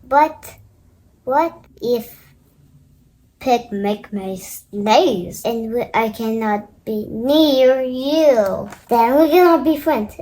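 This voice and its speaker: A young girl voicing an anxious, melodramatic, sneezy elephant from a storybook, halting and dramatic. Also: fairly high pitch, warm tone. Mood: sad, dramatic.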